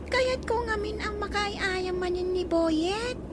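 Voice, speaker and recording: A woman's voice: very high-pitched, takes it slowly. A woman voicing an eager, chirpy little girl with a Filipino Ilocano accent in a radio drama. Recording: loud vehicle noise behind.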